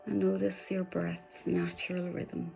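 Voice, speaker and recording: A woman leading a guided meditation in a soft, soothing voice, slipping at times into breathy whispers. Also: fairly low pitch, flat delivery, a little slow, faint music behind, low-quality recording.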